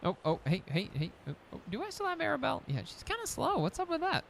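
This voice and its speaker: Lively, chatty young man gaming. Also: extremely high pitch, fast talker, gravelly, slight background noise. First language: English. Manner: chatty, rambling, talks to his pets and the audience. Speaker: gaming YouTuber. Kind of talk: casual let's-play commentary.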